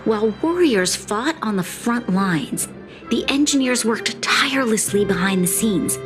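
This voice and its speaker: A woman playing an earnest, resolute young female leader in a video game cutscene, speaking in a measured, formal way. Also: fairly high pitch, lively intonation. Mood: solemn, proud. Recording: loud game music behind the voice. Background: music.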